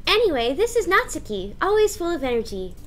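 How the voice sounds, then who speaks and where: A high, swooping schoolgirl voice, put on by a woman, bursting with friendly excitement. It has an animated visual-novel feel, with music playing behind it. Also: fairly quick, exaggerated voice. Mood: cheerful. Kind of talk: visual novel read-aloud character voice.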